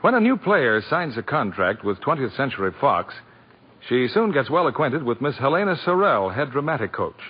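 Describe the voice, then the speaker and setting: A man's voice: medium pitch, big pitch swings, normal speed, breathy edge, muffled recording. A man working as a radio announcer, reading a script in 1940s broadcast style.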